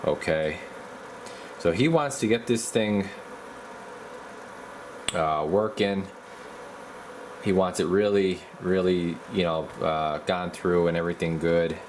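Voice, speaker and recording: A man giving a casual, hands-on repair explanation as a video host, in a halting, folksy way, as if thinking aloud. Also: low voice, background noise.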